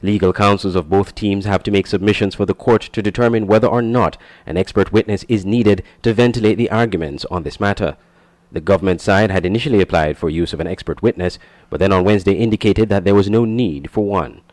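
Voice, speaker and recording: A man's voice: low voice, a little fast, darkish tone, no echo. A man reading scripted news narration as a reporter. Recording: dry close studio voice-over.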